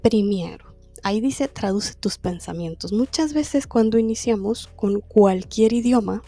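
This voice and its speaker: A woman's voice: mid-range voice, unhurried, dark tone, no room sound, faint music behind. A woman teaching a language class, explaining methods in a conversational way and often asking herself rhetorical questions. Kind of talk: explaining a lesson to camera.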